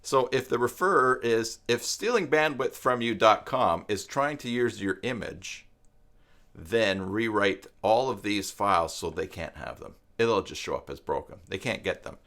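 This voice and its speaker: A man with a fairly low voice presents an unscripted tutorial to viewers. He explains step by step, haltingly, as if working it out while he talks, in the informal way of a live stream host. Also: animated, sharp tone. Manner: explanatory, halting, thinking aloud.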